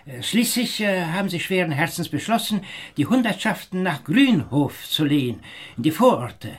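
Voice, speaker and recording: A man's voice: fairly high pitch, big pitch swings, normal speed, husky. An actor playing a wry municipal aide who chuckles as he reports, in a radio drama.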